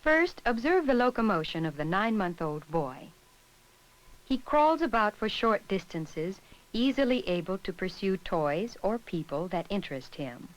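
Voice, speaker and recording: A woman reading scripted narration for an educational film in a measured, instructional way. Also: mid-range voice, very animated, rough recording.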